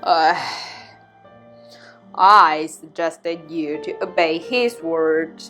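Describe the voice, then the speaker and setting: Radio drama duke, woman performing, measured and deliberate, slightly low voice over clear background music. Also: bright, forceful tone, exaggerated voice. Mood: measured, judging. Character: calm male duke, ruler and judge, measured. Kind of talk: amateur radio drama acting.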